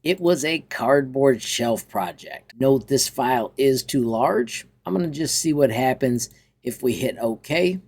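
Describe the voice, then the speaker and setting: A man hosting an informal software tutorial in a chatty, enthusiastic way. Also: mid-range voice, expressive, a little slow, breathy edge. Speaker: tutorial host. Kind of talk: informal software walkthrough with upbeat sign-off.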